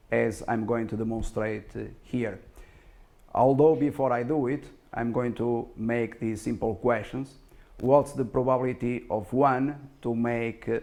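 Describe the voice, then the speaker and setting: A man who is not a native English speaker giving self-promotional stock market commentary, speaking in a halting but emphatic, self-assured way. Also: medium pitch, darkish tone. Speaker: stock market analyst and presenter.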